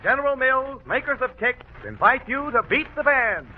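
Male vintage radio announcer, high-pitched and promotional, narrow phone-like old recording. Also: expressive, moderate pace, over loud music. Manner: emphatic, promotional. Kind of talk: 1940s radio announcing and sponsor read. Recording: old 1940 radio broadcast, tinny narrow band.

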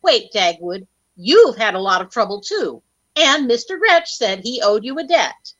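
A woman's voice: a little high, big pitch swings, measured pace, a bit bright, dry recording, exaggerated voice. A woman playing an earnest, protective young housewife in an old-time radio comedy, emotive, on muffled audio.

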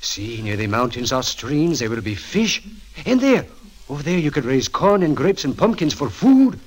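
Earnest, excitable young soldier. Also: male voice, medium pitch, normal speed, low-quality recording. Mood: awed. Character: earnest young Spanish soldier, proud dreamer of land. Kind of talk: 1940s radio drama acting. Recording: vintage 1940s radio broadcast recording.

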